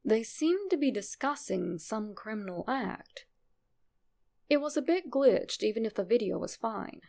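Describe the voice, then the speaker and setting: A woman with a creaky voice reading a scripted first-person horror story as a narrator. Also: a little high, lively intonation, measured pace, a little mellow.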